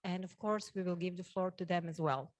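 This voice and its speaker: Female conference moderator, Croatian accent, voice a little on the low side, hesitant delivery to an audience. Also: fairly even intonation, brisk. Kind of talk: conference introduction, addressing an audience.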